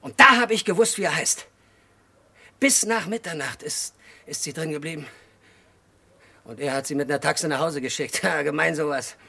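An actor in a German radio crime play, playing an unhinged, childlike murderer who confesses in halting, disjointed sentences. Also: male voice, a little high, gravelly, exaggerated voice. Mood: bitter, giggly. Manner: halting, disjointed short sentences, swinging from hushed to agitated outbursts. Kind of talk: mid-century German radio crime drama acting.

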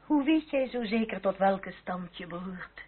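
A woman's voice: mid-range voice, expressive, unhurried, lightly acted. An actress playing an anxious, motherly French aristocratic lady, middle-aged, in an emotional and genteel radio drama style. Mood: motherly, pleading. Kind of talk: period radio drama acting. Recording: tinny, noisy old radio play recording.

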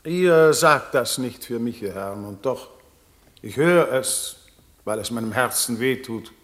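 Man acting a mournful, weary king, medium pitch. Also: animated, unhurried, natural voice. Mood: grieving. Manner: mournful, solemn. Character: grieving, weary, sorrowful king. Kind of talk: German radio play acting.

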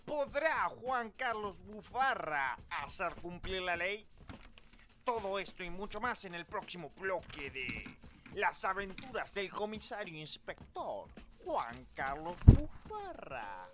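An actor playing a melodramatic radio serial announcer, narrating in a grandiose, suspenseful mock-heroic way with an Argentine accent. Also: male voice, sits high, sing-song, takes it slowly, noisy background, exaggerated voice.

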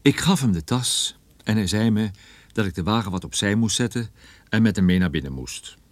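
A man's voice: fairly low pitch, expressive, moderate pace, no echo, close to an everyday voice. A man playing a private detective who narrates his own radio drama with dry, ironic, literary phrasing. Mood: wry, bemused. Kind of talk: scripted first-person radio drama narration.

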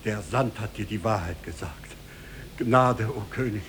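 An actor playing a frightened impostor grovelling before a king, halting and submissive, in 1950s radio drama style. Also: lowish voice, a bit bright. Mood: fearful, begging. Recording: noisy 1950s radio recording.

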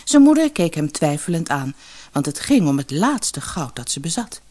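A woman narrates a children's fairy tale in a fairly low voice. Her delivery is scripted and expressive, with plenty of rise and fall, as she acts out the story for young listeners. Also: moderate pace. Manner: acts out the dialogue.

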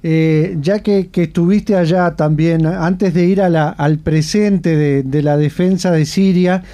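Uruguayan radio host, warm and high. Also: male voice, steady tone, a little slow. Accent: Uruguayan Spanish. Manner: hesitant, many fillers. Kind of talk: radio interview.

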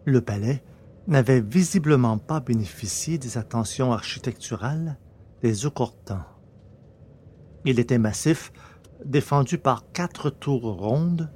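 Medium-pitched man narrating fiction. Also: a little mellow.

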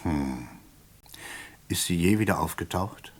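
An actor playing a courteous but persistent, suspicious British police inspector in a radio play, speaking formally and with polite insistence. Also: male voice, low voice, expressive, takes it slowly, darkish tone, exaggerated voice. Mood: probing, formal. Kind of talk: German radio play dialogue.

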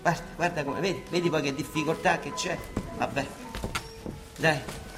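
A man acting as a Roman monsignor in a radio play, with a slightly high voice. He is bustling and impatient, grumbling yet fond underneath, in colloquial Roman speech. The room echoes and music plays clearly behind him. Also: normal speed, close to an everyday voice. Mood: fussing, impatient. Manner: colloquial, chivvying, muttering and exclaiming. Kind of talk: theatrical radio drama acting.

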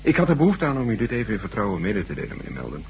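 Low-voiced confiding chief programmer. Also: male voice, wildly swooping pitch, a little mellow. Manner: confiding, measured, courteous. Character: obliging, principled male chief computer programmer. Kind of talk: radio drama acting. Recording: noisy, humming old radio recording.

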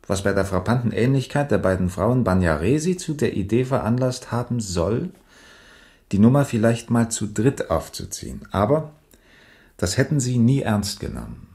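An actor playing a calm, methodical police major in a radio drama, measured and matter-of-fact with a dry weariness. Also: male voice, lowish voice. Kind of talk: 1980s German radio drama acting.

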